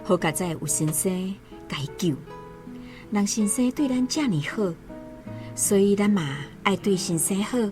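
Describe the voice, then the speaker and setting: A woman acting as a hardworking village mother with a Taiwanese accent. She is earnest and admonishing, stoic yet loving, in a radio drama with soft guitar music behind her. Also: mid-range voice, lively intonation, unhurried, lightly acted.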